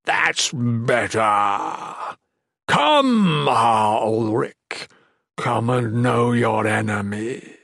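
A man voicing a monstrous, rotting undead tyrant in a fantasy audiobook, mocking and imperious, with a rumbling voice and slow, grandiose menace. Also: expressive, gravelly, a bit bright, dry recording, studio quality, exaggerated voice. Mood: gloating, menacing. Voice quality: rumbling, thunderous.

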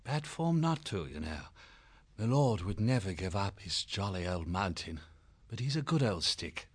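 A man's voice: low-pitched, sing-song, unhurried, slightly rough, dry recording, heavily acted. Man playing a jolly hare officer. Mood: reassuring. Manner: chummy upper-class officer slang.

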